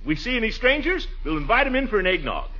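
An actor playing a jovial, blustering small-town American bachelor in 1940s radio comedy, hearty and pompous. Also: male voice, high-pitched, very animated, fast talker. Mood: boisterous. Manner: pompous, hearty, mock-grand declaiming and chuckling. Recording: muffled, noisy 1940s radio recording.

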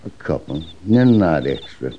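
A man's voice: extremely low pitch, sing-song, a little slow, exaggerated voice. An actor playing a wary, grudging frontier farmer in a radio Western, folksy and evasive as he grumbles. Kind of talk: old-time Western radio drama acting. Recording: dull old radio recording, faint noise.

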